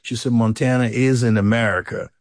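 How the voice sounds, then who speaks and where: A middle-aged man with a Liberian accent, a guest recounting his life story conversationally, animated when he quotes others. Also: medium pitch, a little fast, noisy background. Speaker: interview guest. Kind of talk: casual storytelling in an interview.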